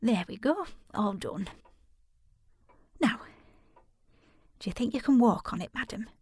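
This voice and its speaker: An actress playing a soothing, dutiful housekeeper in a period audio drama, deferential and formal, with a husky, creaky voice. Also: female voice, fairly high pitch, animated, measured pace, a little mellow, no room sound. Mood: brisk, caring.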